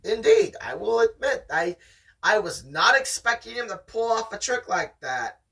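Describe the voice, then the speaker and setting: Amateur story reader with animated pitch and a forceful tone, casual chat between passages, sounds slightly off-mic. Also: moderate pace, creaky, breathy edge, far from the mic. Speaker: host reading a novel aloud.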